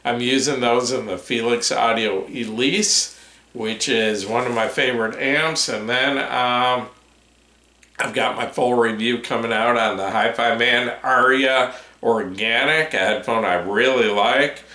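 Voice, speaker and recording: A man hosting a casual update vlog, rambling with many fillers, his voice tired and going hoarse. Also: medium pitch, steady tone, a little slow, sharp tone. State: hoarse, voice giving out. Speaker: headphone reviewer, channel host. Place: home room with his desk. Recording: roomy echo.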